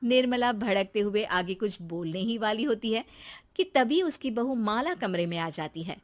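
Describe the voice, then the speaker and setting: A woman's voice: medium pitch, animated, moderate pace. A woman narrator reading a scripted family story.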